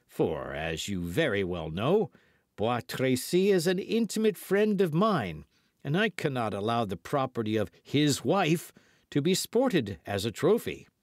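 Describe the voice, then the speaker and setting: A man voicing a teasing young guardsman in an audiobook, speaking with affected, drawn-out seriousness. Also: mid-range voice, very animated, no echo, studio quality. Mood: mock-serious. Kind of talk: audiobook character dialogue.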